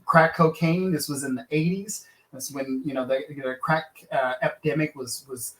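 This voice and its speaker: A man from Kansas, a lawyer and author, answering interview questions in an earnest, halting way full of fillers and restarts. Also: medium pitch, fairly bright tone, echoey room, rough recording.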